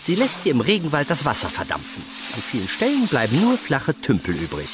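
A man's voice: medium pitch, wildly swooping pitch, brisk, over music. A man playing an eager, pedantic employee of a heavenly agency in a comedic radio play, lecturing in a nerdy way. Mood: lecturing, pleased.

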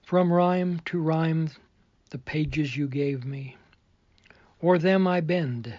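A man reciting his own poetry in a measured, expressive way, with a husky, breathy voice. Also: a little high, dry recording, dull audio. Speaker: poet reading own work.

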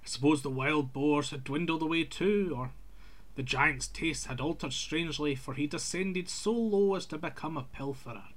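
A man's voice: on the high side, moderate pace, a bit bright. A man reading a scripted folk tale aloud in an old-fashioned literary storytelling manner.

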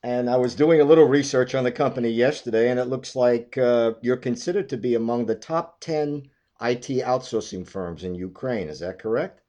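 Male interviewer with a mid-pitched voice. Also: moderate pace, few pauses, warm tone, dull audio. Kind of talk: structured business interview questions.

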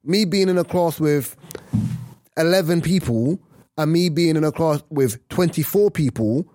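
A man co-hosting a casual podcast, talking in an emphatic, animated way. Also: fairly high pitch, unhurried, no echo. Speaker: podcast co-host.

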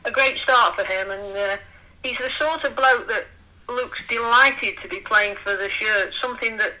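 A woman, talking in lively, articulate casual chat as co-host of a fan podcast, with dry humour. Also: a little high, moderate pace, a little hiss or hum, rough recording.

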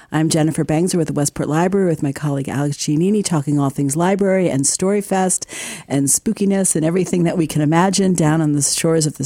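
Female radio co-host, mellow tone, dry studio sound, conversational interviewing. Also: low voice, dry recording. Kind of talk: live radio interview hosting.

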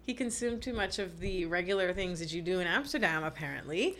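Casting: Bahamian woman in her twenties, bright crisp tone, animated storyteller. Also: on the low side, a little fast. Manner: animated storytelling, laughs often. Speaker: guest. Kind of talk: casual chat.

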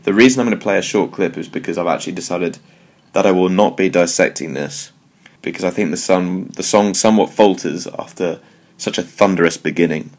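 A man's voice: deep voice, fairly quick. A British man hosting a solo music commentary show, talking in a wry, digressive way with jokey asides. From United Kingdom. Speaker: podcast host.

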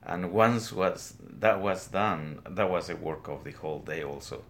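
Slow, deep-voiced older Guatemalan man, reflective. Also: a bit bright. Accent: Guatemalan Spanish. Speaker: weaver, interview guest. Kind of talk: interview, recounting his life. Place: weaving studio, indoors.

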